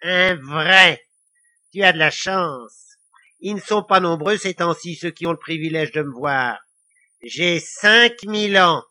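A man's voice: a little high, slow pace, pushed and bright, full character voice. A man voicing a mischievous, boastful ancient little devil in a children's story, with a sneering, theatrical manner. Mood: haughty, boastful. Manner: sneering, theatrical, cackling taunts. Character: mischievous, boastful five-thousand-year-old little devil, male.

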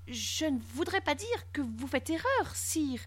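A woman's voice: high-pitched, sing-song, a little slow, pitched above the performer's own voice. A woman voicing a timid, polite boy in a storybook, stammering and anxious. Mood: nervous, polite. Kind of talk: storybook character acting.

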